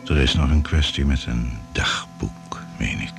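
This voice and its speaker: An actor as a radio-play narrator and interviewer, clipped, formal and solemn. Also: male voice, very deep voice, unhurried, creaky, soft background music, heavily acted. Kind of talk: scripted radio drama narration.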